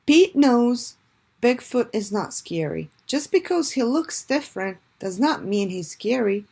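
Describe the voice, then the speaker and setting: A woman reading a children's storybook aloud in a deliberate, expressive way, like a teacher addressing young listeners. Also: a little high, vocal fry, slightly rough.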